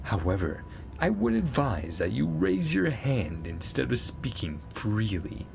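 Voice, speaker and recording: A man's voice: lowish voice, wildly swooping pitch, a little slow, vocal fry, darkish tone, faint music behind, heavily acted. A man voicing a pedantic, imperious male professor from a game, formal and exacting as he lectures. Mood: dry, lecturing.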